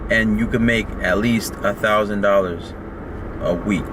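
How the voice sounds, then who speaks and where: A man's voice: fairly low pitch, steady tone, a little slow, noisy background. A man talking in a casual, rambling way about his work while eating, filmed in a car. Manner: laid-back, rambling, heavy on "like". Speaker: vlogger and rideshare driver. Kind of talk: casual mukbang chat.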